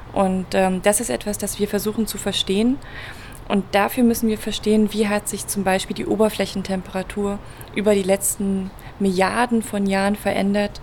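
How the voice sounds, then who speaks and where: A woman's voice: medium pitch, vocal fry, slight background noise. A woman from Berlin, a planetary scientist, explaining her research in a conversational interview with patient everyday examples and some fillers. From Berlin, Germany. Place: event venue.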